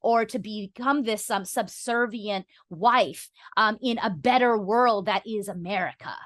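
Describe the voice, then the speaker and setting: A woman's voice: mid-range voice, a little slow, a bit bright, no echo, studio quality. A woman guest on a podcast explaining her views conversationally, earnest and articulate, often saying "you know".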